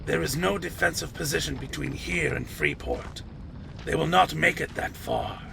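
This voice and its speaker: A man acts a loyal vampire warrior with a heavily creaking, gravelly voice. He is blunt and dryly chiding, a fantasy audio drama bodyguard, recorded against clear background noise. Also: a little high, moderate pace. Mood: dry, measured. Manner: dry, chiding, formal. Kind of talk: fan audio drama dialogue.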